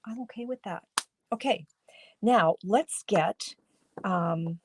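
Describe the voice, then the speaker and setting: A woman hosting a live card-making stream, chatting casually and thinking aloud as she colors, with a slightly hoarse, froggy voice. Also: mid-range voice, slow, slight background noise. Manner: chatty, thinks aloud while coloring, greets viewers by name. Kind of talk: casual live crafting demo talking to viewers.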